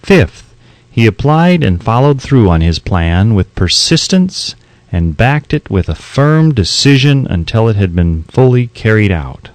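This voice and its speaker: Male audiobook narrator, formal and emphatic, animated pitch movement, dry close sound. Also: unhurried, no echo. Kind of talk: scripted audiobook narration of a self-help book.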